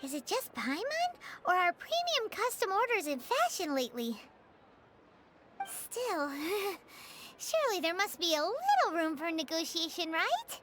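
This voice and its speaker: Tiny game fairy companion, extremely high squeaky voice, lively swoops, excitable, childlike, music behind. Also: female voice, unhurried, background noise, exaggerated voice. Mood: cajoling. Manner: speaks of self in third person, excitable. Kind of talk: video game voice acting. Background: cheerful game music.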